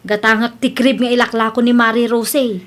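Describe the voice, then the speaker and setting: A woman with a Filipino Ilocano accent playing an excitable, stubborn expectant young mother in a radio comedy drama, insistent and complaining. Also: on the high side, normal speed, close to an everyday voice. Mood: eager, pleading. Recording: background music under the voice.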